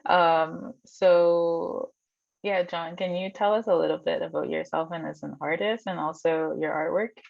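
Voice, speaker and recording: A woman's voice: lowish voice, fairly even intonation, a little slow, gravelly, darkish tone. A woman curator hosting an online artist talk, introducing the artists in a friendly way with plenty of ums. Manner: friendly, filler-heavy, with frequent ums. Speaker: curator and host. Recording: dry, slightly dull video-call audio.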